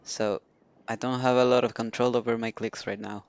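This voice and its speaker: A man's voice: medium pitch, little pitch movement, normal speed, clean tone. A man streaming a video game, giving casual reaction commentary as he plays. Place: indoors at a computer. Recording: muffled mic over soft game music.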